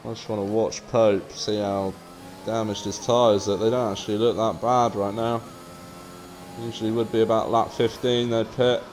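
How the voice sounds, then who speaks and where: A man's voice: lowish voice, flat delivery, moderate pace, a little mellow, slight background noise. A man casually commentating a sim racing stream in a laid-back, matter-of-fact way, reading out viewer chat between updates. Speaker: esports race commentator.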